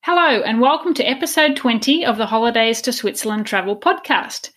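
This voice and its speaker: A woman's voice: a little high, fairly quick, a bit bright. A woman hosting a podcast, interviewing in a conversational, polite and encouraging way. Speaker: podcast host.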